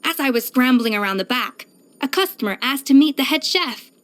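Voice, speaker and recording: An actress voicing a proud, exacting young pastry chef in a video game, poised, self-assured and precise in manner. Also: female voice, sits high, expressive, brisk, a bit bright. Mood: earnest, admiring. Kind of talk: scripted video game character voice lines.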